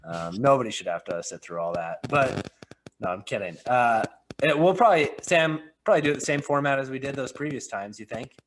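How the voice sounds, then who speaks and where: A man's voice: medium pitch, expressive, fairly quick, slight background noise, rough recording. A man hosting a film podcast in casual chat, full of joking, rambling banter. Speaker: podcast host.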